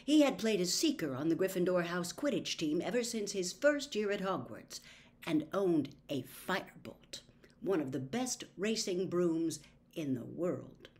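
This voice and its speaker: A woman reading a story aloud in an expressive narrating style. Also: on the low side, measured pace. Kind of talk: expressive audiobook-style narration with character voices.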